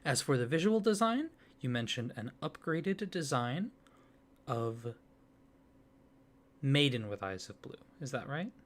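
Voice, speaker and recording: A soft-spoken man hosts an ASMR roleplay as an eager card designer. He talks slowly and unhurriedly, his mid-range voice rising and dipping with lively, expressive melody. The gentle delivery stays quiet and close. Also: mid-range voice.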